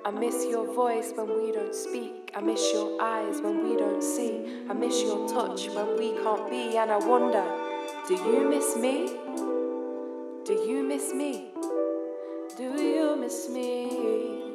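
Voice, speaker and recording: A woman's voice: fairly high pitch, fairly even intonation, takes it slowly. A woman performing a wistful, yearning love lyric as spoken word in an audio drama. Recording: echoey, loud music behind.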